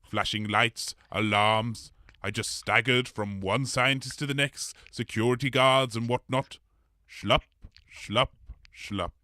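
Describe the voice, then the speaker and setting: A polite blob creature made of many absorbed people, with a bright, forward voice at middling pitch. The delivery is hesitant and rambling, quick to apologise, in a dry, close comedy audio drama recording. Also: male voice, fairly even intonation, dry recording. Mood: rambling, genial.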